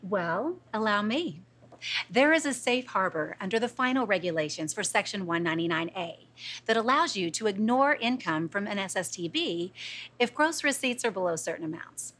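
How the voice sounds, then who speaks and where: A woman playing a glamorous lounge singer who explains with brisk confidence. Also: mid-range voice, expressive, a bit bright.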